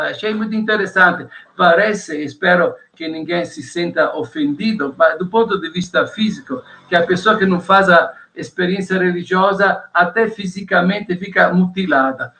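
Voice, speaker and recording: A man from Italy with an Italian accent, a guest professor of theology, explaining ideas on a podcast in a measured way, listing points one by one. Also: high-pitched, pushed and bright.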